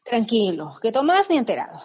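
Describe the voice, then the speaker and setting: An actress playing a blunt, sarcastic woman therapist who is also a friend, in a radio comedy, sharp-tongued. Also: on the high side, big pitch swings, brisk, slightly rough, in a large hall. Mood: lecturing.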